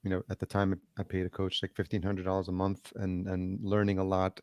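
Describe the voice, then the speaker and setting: Deep, flat-voiced man. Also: no room sound. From El Salvador, raised in Yonkers, New York. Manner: measured, hesitant with many ums and restarts. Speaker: podcast producer, guest. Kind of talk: casual interview chat. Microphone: remote video call.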